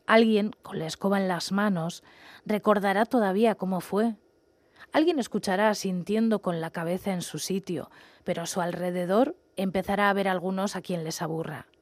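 A woman's voice: mid-range voice, darkish tone, no echo. Woman reading aloud, very dry sound. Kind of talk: scripted reading, poetry and narration.

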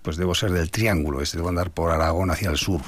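An older Spanish man, an author being interviewed, talking in a reflective, measured way with some hesitations. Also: sits low, fairly even intonation, no room sound. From Spain. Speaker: author guest. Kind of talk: radio interview.

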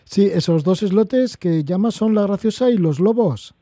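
A man hosting a radio interview, with a high voice but a very dark, mellow tone. The sound is dry and close, like a studio mic. He asks friendly, leading questions. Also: animated, moderate pace, no echo.

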